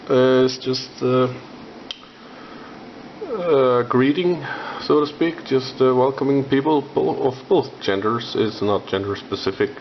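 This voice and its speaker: A young Icelandic man with an Icelandic accent, rambling about building a scale model with lots of filler sounds and pauses. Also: medium pitch. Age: young adult. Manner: halting, many filler sounds. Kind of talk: rambling hobby vlog. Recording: dull lo-fi with faint noise.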